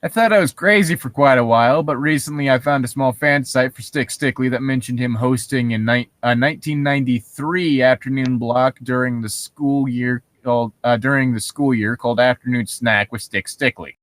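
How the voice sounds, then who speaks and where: A man's voice: medium pitch, moderate pace, hardly any pauses, fairly bright tone, no room sound. A man co-hosting a casual reading of internet horror stories, joking and swearing as he goes. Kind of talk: casual banter and reading aloud.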